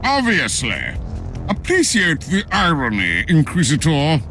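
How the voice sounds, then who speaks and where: A zealous video game inquisitor, imperious and declamatory. His voice is high for a man and bright, with a lively swing in pitch. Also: measured pace, faint music behind, full character voice. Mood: haughty. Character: righteous, zealous male rival Inquisitor, haughty. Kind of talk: dramatic video game voice acting.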